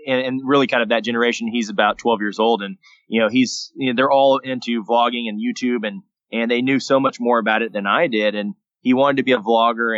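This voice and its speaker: A man in his thirties from Texas, a guest telling his story in a relaxed, rambling way on a podcast. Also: medium pitch, dry recording. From San Antonio, Texas, USA. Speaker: guest, daily vlogger and entrepreneur. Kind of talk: casual podcast interview. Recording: dull lo-fi dry sound.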